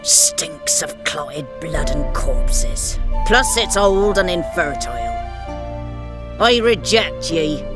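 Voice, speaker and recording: A woman's voice: deep voice, animated, slow pace. A woman playing a blind old crone who judges pilgrims in a blunt, haughty manner, in a video game. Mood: contemptuous. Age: older. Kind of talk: dramatic video game acting. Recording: music playing behind the voice.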